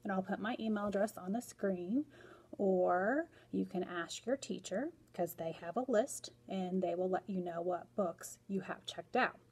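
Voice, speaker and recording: Slow-paced American woman, school librarian. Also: mid-range voice, a little mellow, slightly rough. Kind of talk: instructional chat to pupils. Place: small school room, indoors.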